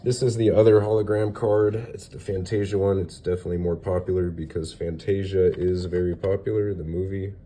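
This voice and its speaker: A young man with a stuffy, nasal voice from a recent cold, chatting loosely through a card unboxing with many pauses and fillers. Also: low-pitched, steady tone, mellow, slight background noise. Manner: rambling, hesitant, lots of fillers. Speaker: card collector showing graded cards. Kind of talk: casual unboxing vlog.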